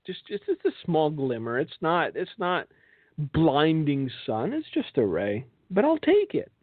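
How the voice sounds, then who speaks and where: Male religious broadcast host, slightly high voice, sardonic monologue with pointed rhetorical questions, thin phone-like sound. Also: huge pitch swings, measured pace. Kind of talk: political and religious monologue commentary. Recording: thin phone-like band, echoey room.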